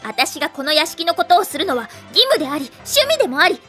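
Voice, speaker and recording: A dutiful young maid with an extremely high, bright voice. She is polite and stubborn, and her lines are lively, in anime drama style. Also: a little fast, soft background music, heavily acted. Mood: firm, dutiful. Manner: polite, earnest, firm.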